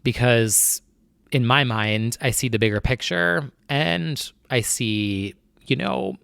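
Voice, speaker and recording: Young guy podcast host, creaky voice, rambly nervous chat, dry close mic. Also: medium pitch, slow, fairly bright tone, no room sound. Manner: anxious, rambling, many fillers and restarts. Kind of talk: casual solo chat.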